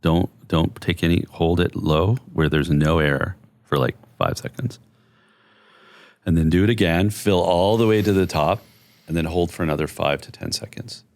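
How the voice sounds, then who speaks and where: A middle-aged man with a deep bass voice, speaking as a therapist guest in a measured, soothing, explanatory way. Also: darkish tone, no room sound. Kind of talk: conversational interview.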